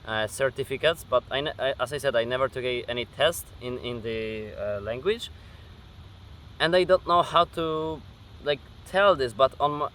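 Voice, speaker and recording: A young man of eighteen with a Polish accent answers viewer questions outdoors, speaking into a camera facing him. His voice is bright and clear, and he talks hesitantly, filling gaps with uh and um and restarting his sentences. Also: medium pitch, slight background noise. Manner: hesitant, many fillers and restarts. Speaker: gaming YouTuber. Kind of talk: casual Q&A vlog. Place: outdoors at dusk.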